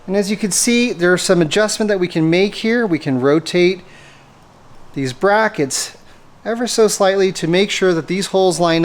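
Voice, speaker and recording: A man presenting a methodical, step-by-step hands-on installation tutorial for a product he made. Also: sits high. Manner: methodical, explanatory. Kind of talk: step-by-step hands-on how-to demonstration.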